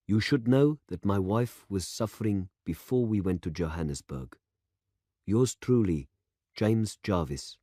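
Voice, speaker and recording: A man reading as a formal, grieving older gentleman. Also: on the low side, expressive, slightly rough, no room sound, studio quality. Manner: formal and measured. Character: bereaved older male landowner writing a letter.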